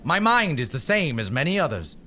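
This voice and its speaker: An actor playing a bitter, proud older English knight in an audio drama, scornful and menacing in manner. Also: male voice, on the high side, very animated, brisk, bright, forceful tone, a little hiss or hum. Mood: menacing, contemptuous. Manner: scornful, theatrical, swinging from bluster to menace.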